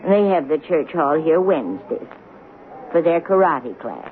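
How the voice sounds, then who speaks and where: An actress playing a sly, sweet-talking old church lady in her eighties in a radio drama, genteel, coy and gently teasing. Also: low voice, very animated, unhurried. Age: 80s. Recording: muffled, noisy 1970s radio broadcast.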